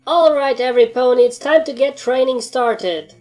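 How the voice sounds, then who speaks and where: A woman's voice: high-pitched, moderate pace, darkish tone, pitched above the performer's own voice, close to an everyday voice. A woman voicing a stern, easily annoyed mare who commands a squad of ponies, speaking in a commanding, matter-of-fact way over music in a story reading. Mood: brisk, commanding.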